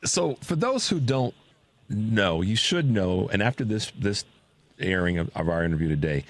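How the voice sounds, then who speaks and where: A man hosting a radio talk show, his voice pitched a little low. He gets excited and trips over his words, restarting sentences as he chats with a guest in the studio. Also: moderate pace, no echo. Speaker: radio host. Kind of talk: casual interview chat.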